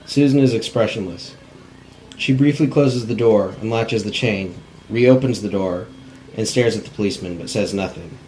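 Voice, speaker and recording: A man's voice: fairly low pitch, moderate pace, a little mellow, low-quality recording. A man narrating stage directions in a plain, unpolished cold reading of a script. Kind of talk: scripted narration at a table read. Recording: faint background noise.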